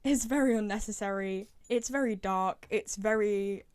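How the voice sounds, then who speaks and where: A woman's voice: mid-range voice, normal speed, a little mellow, smooth. A young woman giving casual, opinionated fan commentary as a video creator, talking in a chatty, informal way. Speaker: video creator reviewing game voice lines.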